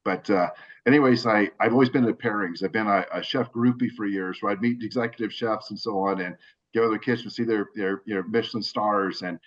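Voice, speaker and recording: A man with a lowish voice tells his story in a loose, rambling way, full of restarts and fillers. He is on a video call, and the sound is dull and lo-fi. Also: a little fast. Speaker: cigar brand owner. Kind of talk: casual video call interview.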